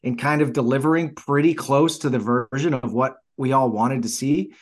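A man's voice: mid-range voice, moderate pace. A man in his forties chatting casually about films as a podcast co-host, informal and profane, often restarting his phrases. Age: 40s. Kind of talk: casual film chat.

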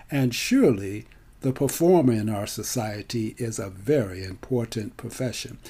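Man in his seventies, mellow tone, swooping emphatic delivery, theatrical storyteller, careful enunciation. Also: mid-range voice, slightly rough. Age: 70s. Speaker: featured storyteller and actor. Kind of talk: autobiographical storytelling to an audience on mic.

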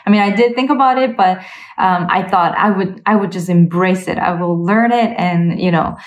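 A woman's voice: fairly low pitch, moderate pace, highs cut off. Young woman musician, thoughtful and hesitant. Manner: thoughtful, hesitant, many fillers and restarts. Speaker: interview guest, cellist. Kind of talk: casual conversational interview.

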